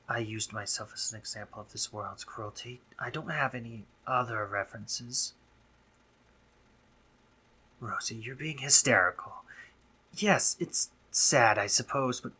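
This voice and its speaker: A man playing a brooding, sardonic drider monster, a guarded but caring doctor, in an intimate roleplay audio drama, speaking formally with halting pauses. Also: medium pitch, lively intonation, pushed and bright, slightly rough. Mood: flustered.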